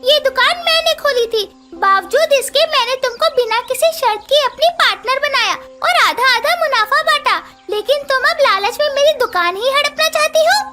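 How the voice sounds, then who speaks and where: Squeaky, assertive little cartoon bird. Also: female voice, lively intonation, moderate pace, hardly any pauses, sharp tone, faint music behind, heavily acted. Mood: indignant, demanding. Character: female cartoon bird shopkeeper or beautician, small and assertive. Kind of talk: children's cartoon dialogue.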